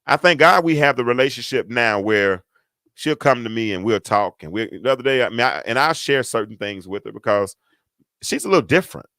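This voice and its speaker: A middle-aged man hosting a talk show, telling a parenting story with a deep, stentorious voice and emphatic repetition. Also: sharp tone, dry recording. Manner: emphatic, repeats words for effect, folksy asides. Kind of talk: casual storytelling to an audience.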